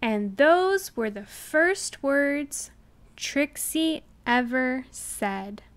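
A woman reading a children's picture book aloud, with deliberate and expressive enunciation. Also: high voice, clean tone. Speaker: storybook reader. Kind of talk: children's read-aloud narration.

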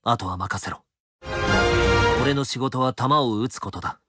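A man's voice: mid-range voice, measured pace, natural voice. An actor playing a terse, stern young swordsman in an anime-style game, cool and clipped. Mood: cool, stoic. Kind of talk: anime-style mobile game character lines. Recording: game voice clips over loud music.

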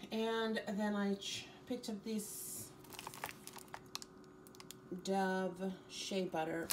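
A woman's voice: on the low side, far from the mic, noisy background. A woman vlogger chatting casually about her groceries at home, rambling with ums and restarts. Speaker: fitness vlogger. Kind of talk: casual grocery haul chat. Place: home kitchen, indoors.

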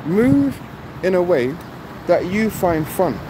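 A man's voice: sits high, very animated, takes it slowly. A middle-aged man vlogging casually into a phone held at arm's length, repeating his points with emphasis and often asking "you know what I mean". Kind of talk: casual selfie vlog. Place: outdoors on a street by a shop, traffic around. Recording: loud street and car noise.

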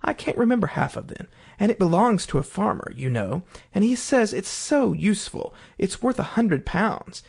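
A woman voicing a polite, eager little girl in a storybook, earnest and quick to apologise. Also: medium pitch, expressive, vocal fry, voice pushed up. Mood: eager chatter.

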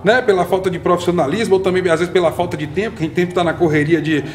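A young man from northeast Brazil hosts a relaxed panel talk, his voice sitting high and light. he speaks earnestly, keeps checking in with "né", and sounds like he's on a sofa in a community room with a faint hum of noise behind him. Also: racing. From Salvador, Bahia, Brazil. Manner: earnest, often tags lines with "né". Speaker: podcast host. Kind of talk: casual panel chat.

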